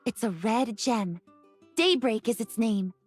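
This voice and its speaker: An actress playing a kind, forthright young shepherdess from a grassland tribe in a romance game. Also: female voice, on the high side, takes it slowly, soft background music. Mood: friendly. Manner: bright, forthright, welcoming. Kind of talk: game character dialogue.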